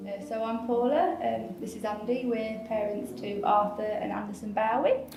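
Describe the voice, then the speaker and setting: Mum in her 30s talking calm and gentle, lots of ums, bit gravelly, echoey room with music behind. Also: on the high side, moderate pace. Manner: gentle, steady, frequent ums. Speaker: parent interviewed in a video. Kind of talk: personal account in a documentary clip. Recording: roomy sound with background music.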